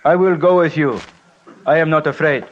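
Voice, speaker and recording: An actor playing a solemn, loyal mountain guide in an old radio drama, speaking formally and deliberately in short clipped sentences. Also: male voice, on the high side, natural voice. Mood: earnest, firm. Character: solemn, loyal male Himalayan guide, earnest and fearless. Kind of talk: old-time radio adventure drama acting. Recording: dull, faintly noisy old radio recording.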